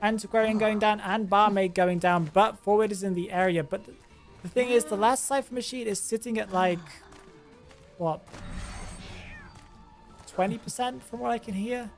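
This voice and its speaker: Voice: mid-range voice, steady tone, moderate pace, pauses often, soft background music. A host giving casual, chatty commentary on gameplay, thinking aloud and often trailing off to restart a sentence. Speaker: game commentator and channel host.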